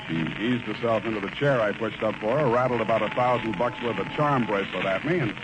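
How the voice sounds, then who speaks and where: An American actor playing a wry, flirtatious hard-boiled private detective in 1940s radio drama style. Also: male voice, on the low side, fast, over loud music, close to an everyday voice. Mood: wry narrating. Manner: wry, sardonic. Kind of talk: 1940s radio detective acting with first-person narration. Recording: noisy old-time radio recording.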